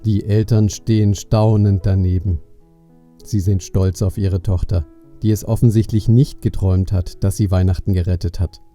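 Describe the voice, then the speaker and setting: A man's voice: lowish voice, dark tone. A man narrating a children's story in a scripted storytelling style.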